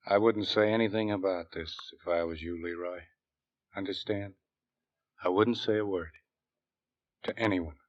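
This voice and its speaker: A dry, dull 1940s radio recording of an actor as a shifty American male sergeant. His voice sits low. He talks in slangy, halting phrases, always sidestepping. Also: steady tone, no echo, natural voice. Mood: quietly menacing. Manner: hesitant, stammering, slangy, evasive. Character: shifty, nervous army sergeant dodging questions. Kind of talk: 1940s radio drama acting.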